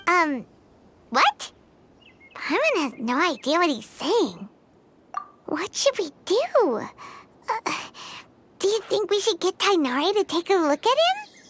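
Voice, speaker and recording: A woman's voice: very high voice, big pitch swings, slow pace, long pauses, fairly bright tone, slight background noise, full character voice. A woman voicing a small, chirpy female companion in a video game who refers to herself by name. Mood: confused.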